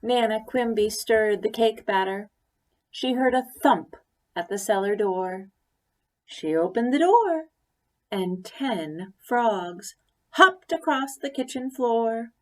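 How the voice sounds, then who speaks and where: A woman reading a children's story aloud expressively, deliberately, with pauses for emphasis. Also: a little high, animated. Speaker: story reader. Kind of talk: expressive picture-book read-aloud.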